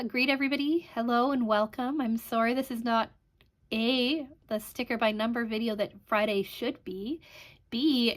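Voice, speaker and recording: A woman in her thirties from Canada talks casually to her viewers in a chatty, rambling way, often pausing on an um. Her voice sits a little high. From Canada (Alberta). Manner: chatty, rambling with frequent ums. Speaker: crafting channel host. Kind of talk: casual vlog chat.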